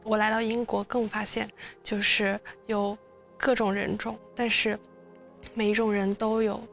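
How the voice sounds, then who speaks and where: A woman's voice: mid-range voice, level tone, unhurried, creaky, faint music behind. A woman in a documentary interview, speaking hesitantly with many fillers.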